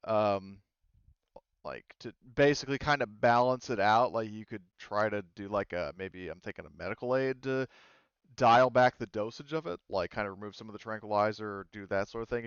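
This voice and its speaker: Young male game master, low smooth voice, dull dry sound, rambling delivery. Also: moderate pace, no room sound. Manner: rambling, hedging with many fillers. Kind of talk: running a tabletop game online.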